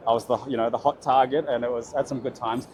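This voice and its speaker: Fast-talking young Australian man. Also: mid-range voice, fairly even intonation, a little mellow, a little hiss or hum. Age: young adult. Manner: upbeat, quick affirmations, frequent fillers. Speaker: interview guest, expat. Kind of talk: casual interview chat.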